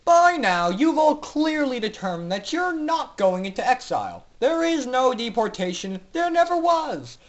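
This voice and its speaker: A man voicing a gloating, theatrical villain pony who announces to a crowd in loud, clear, grandiose oratory for a story reading. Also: very high voice, very animated, moderate pace, few pauses, highs cut off, voice pushed up. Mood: gleeful, grandiose. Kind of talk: dramatic fan fiction reading.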